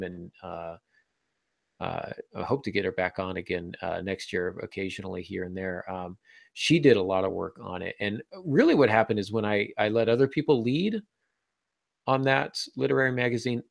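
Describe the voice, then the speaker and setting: A man's voice: low-pitched, vocal fry, dry recording. A man co-hosting a podcast, talking casually and reflectively in hesitant phrases. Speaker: podcast co-host and writer.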